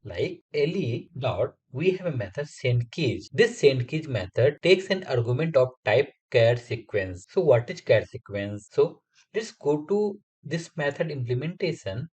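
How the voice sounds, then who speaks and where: A man's voice: mid-range voice, darkish tone, husky. A man, a Hindi speaker, explains a coding tutorial step by step over a screen recording. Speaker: software testing instructor.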